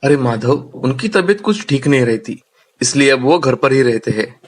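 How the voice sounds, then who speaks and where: A man's voice: mid-range voice, lively intonation, normal speed, lightly acted. A man voicing a polite, earnest, hardworking young village farmer in a cartoon story. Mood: friendly. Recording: music under the voice.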